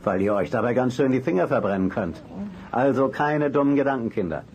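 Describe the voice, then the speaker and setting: A man playing a gruff, imperious uncle in an audio drama, brusque and condescending in the way he gives orders. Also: mid-range voice, animated, brisk, darkish tone, a little hiss or hum, natural voice. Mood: stern, condescending. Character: gruff, imperious, well-to-do uncle, middle-aged or older. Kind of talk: German audio drama acting.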